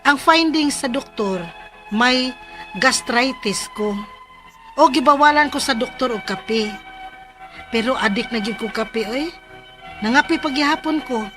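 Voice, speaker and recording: Over a music bed comes a fairly high Cebuano voice, a weary married working mother. She confides like someone hooked on coffee and worn out, in radio drama style. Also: pushed and bright. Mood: confiding, troubled. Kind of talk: Philippine radio drama acting and first-person letter narration.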